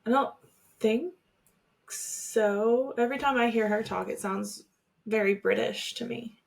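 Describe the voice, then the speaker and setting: A woman's voice: a little high, unhurried, creaky. A woman co-hosting a podcast, talking casually and conversationally, often agreeing with her co-host. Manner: conversational, agreeable, hedging with fillers. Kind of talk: casual chat.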